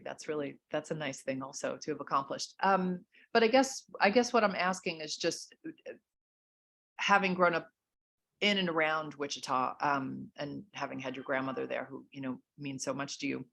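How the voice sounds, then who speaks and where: A middle-aged woman with a notably low voice interviews an author over a video call. She talks in a measured way, full of fillers and restarts. The sound comes from a home room through a call mic. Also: vocal fry, fairly bright tone.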